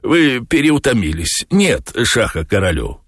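A man's voice: on the low side, big pitch swings, normal speed, no room sound, clean studio sound, voice pushed up. A man voicing a theatrical talking tomcat in an audiobook, a wheedling chess cheat full of fussy, falsely anxious indignation. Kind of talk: audiobook character voice.

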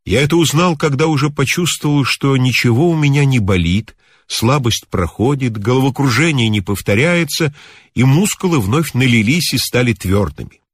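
A man narrating a literary tale as an audiobook reader, in an expressive storytelling style. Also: medium pitch, moderate pace, breathy edge, no room sound.

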